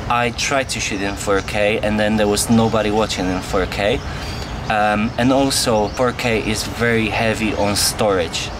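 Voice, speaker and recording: A man's voice: fairly low pitch, fairly even intonation, normal speed, background noise. A young man from Poland with a Polish accent, casually answering viewer questions in a vlog. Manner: plain, earnest, answers viewer questions one by one. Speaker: vlogger. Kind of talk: casual Q&A to camera. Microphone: on-camera mic.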